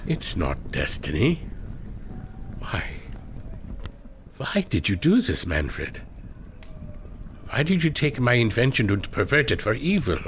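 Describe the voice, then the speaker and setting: A man playing an excitable, sentimental inventor in an audio drama, emotional and exclamatory. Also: mid-range voice, slow, long pauses. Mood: grieved. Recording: loud music and engine noise behind.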